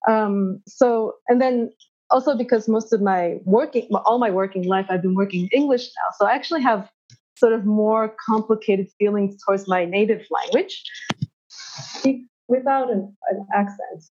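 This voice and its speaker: A woman from Japan with an American accent, sharing her thoughts reflectively in a discussion forum, halting and restarting often. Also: mid-range voice, darkish tone. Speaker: forum participant. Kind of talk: casual personal sharing in a discussion. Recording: dull lo-fi audio.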